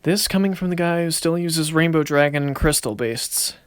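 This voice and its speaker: Man playing a cocky young male duelist, higher voice, dry close sound, swaggering, good-natured, amateur anime drama. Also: normal speed, clean tone, no room sound, lightly acted. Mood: casual, friendly. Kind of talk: amateur anime fan-series voice acting.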